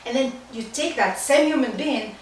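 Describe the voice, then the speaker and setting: A woman's voice: high voice, normal speed. An Israeli-accented woman guesting on a homemade podcast, speaking with emphatic enthusiasm across a very reverberant room with a faint hiss. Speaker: podcast guest, life coach. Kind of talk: casual podcast interview. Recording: distant mic, very echoey room, faint noise.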